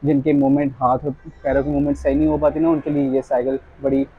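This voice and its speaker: A young man giving a casual, polite sales pitch, quoting products and prices as a shop salesman. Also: level tone, fast, breathy edge, dry recording, phone-quality sound. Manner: polite, addresses customer as sir. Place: small tiled fitness equipment shop, indoors.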